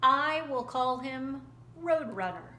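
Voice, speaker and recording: Woman as a calm, slow-speaking desert creator spirit. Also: high-pitched, heavily acted. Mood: solemn. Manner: patient, reassuring. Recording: roomy echo, faint background noise.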